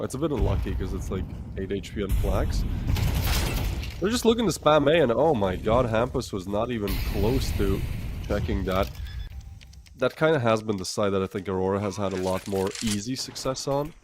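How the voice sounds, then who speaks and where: Low-voiced excitable esports caster. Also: male voice, normal speed, background noise. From the Baltics. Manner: chatty, laughing, excitable play-by-play. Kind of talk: live esports commentary.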